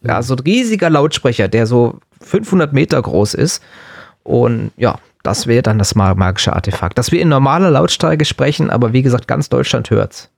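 A man's voice: medium pitch, lively intonation, moderate pace, vocal fry, no room sound, clean studio sound. A man co-hosting a podcast, chatting casually and answering questions in a rambling, joking way. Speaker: podcast and radio co-host.

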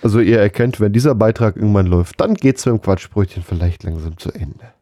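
A man's voice: fairly low pitch, animated, brisk, warm tone, no room sound, clean studio sound. A man chatting casually and jokingly as a podcast co-host. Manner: joking, playful. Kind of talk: casual comedic podcast banter.

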